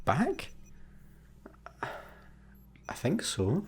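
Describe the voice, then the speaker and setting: A Scottish man playing an excitable, sarcastic space engineer in a sci-fi comedy radio play, with a dramatic, easily flustered manner. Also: a little high, drawn-out words, husky, heavily acted. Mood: sarcastic, dry.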